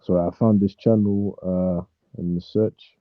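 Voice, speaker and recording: A man calling in to a show, speaking casually in a hesitant, halting way over a muffled connection. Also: sits low, steady tone, dry recording. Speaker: caller. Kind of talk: casual call-in chat.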